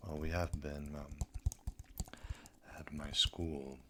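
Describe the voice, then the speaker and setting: A young adult ASMR creator, sick with a sore throat, whispering slowly in a deep, raspy voice into a close microphone at home. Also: flat delivery, noisy background. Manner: soft, slow, breathy whispering. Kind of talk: slow whispered ASMR chat.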